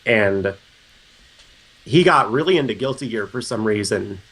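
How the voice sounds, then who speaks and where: A man in his thirties from Missouri who has a cold that deepens his voice, telling stories on a podcast in a loud, chatty, joking way. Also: lively intonation, a little slow, slightly rough, poor audio. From Columbia, Missouri. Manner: loud, chatty, jokey storyteller. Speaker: podcast co-host. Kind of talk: casual podcast chat.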